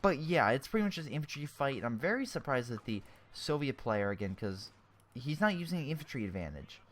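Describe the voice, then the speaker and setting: A man's voice: medium pitch, big pitch swings, a little fast. A young man with a sore throat giving casual, chatty commentary on a strategy game replay, often correcting himself mid-sentence and airing blunt opinions.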